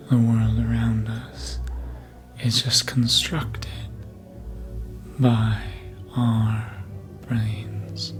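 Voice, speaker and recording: An actor performs a seductive vampire who toys with the listener in a breathy whisper and low murmur. The delivery is extremely slow, deliberate and teasing, close on the mic in an ASMR roleplay, with soft music behind it. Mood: smug, intimate. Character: seductive, manipulative elder vampire toying with a fledgling. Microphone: close microphone.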